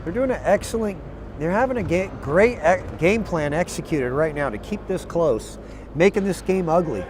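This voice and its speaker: A hoarse man with a raspy voice doing live, partisan color commentary for a basketball game. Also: fairly high pitch, animated, moderate pace, noisy background. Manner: partisan, cheers on one team with "we". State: hoarse, tired voice.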